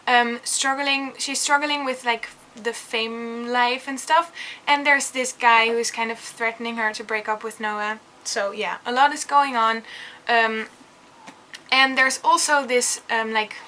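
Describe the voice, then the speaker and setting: Chatty dutch girl gushing about books on camera, crisp bright tone, faint hiss behind. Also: a little high. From Netherlands. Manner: chatty and enthusiastic, many fillers. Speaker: vlogger. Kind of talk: casual book review vlog.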